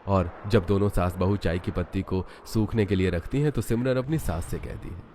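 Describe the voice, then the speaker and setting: Male narrator, low voice, scripted storybook delivery, faint noise behind. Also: normal speed, mellow.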